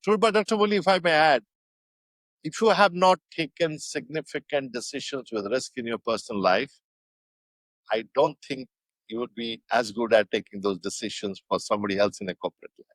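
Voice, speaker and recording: An older man with an Indian English accent, giving measured, thoughtful interview answers with frequent fillers. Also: medium pitch, fairly bright tone, no room sound, poor audio. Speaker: guest.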